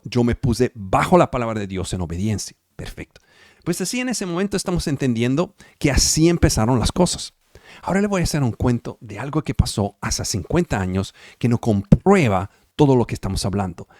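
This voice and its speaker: A man's voice: medium pitch, darkish tone, dry recording, studio quality. An Italian-born man preaching in Spanish with an Italian accent, emphatic and repetitive, checking whether his listeners follow. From Italy. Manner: emphatic, repetitive, asks the audience if they follow. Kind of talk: sermon over video call.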